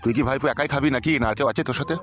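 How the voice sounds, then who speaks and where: A man's voice: mid-range voice, very fast, close to an everyday voice. A man voicing a crude, tipsy middle-aged village uncle in a comedy cartoon, slangy and blustering. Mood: wheedling. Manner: slangy, blustering, foul-mouthed. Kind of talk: comic cartoon dialogue. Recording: dull, muffled lo-fi cartoon audio. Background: music.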